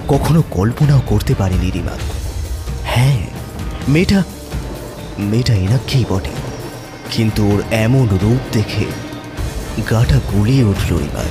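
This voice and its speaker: A man narrating a horror story in a suspenseful, theatrical manner with dramatic pauses. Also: lowish voice.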